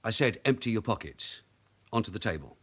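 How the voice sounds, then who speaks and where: Shrewd veteran London detective sergeant, radio drama; dry, brisk, unfussy, with animated swings of pitch. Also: male voice. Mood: firm, sardonic. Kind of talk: naturalistic British radio drama acting.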